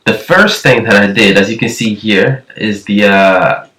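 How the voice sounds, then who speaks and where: A man's voice: fairly low pitch, unhurried, sharp tone. Thin-voiced man, echoey and distant. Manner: rambling, with frequent restarts. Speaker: nasheed production tutor. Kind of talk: casual screen-recorded tutorial. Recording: echoey room, far from the mic.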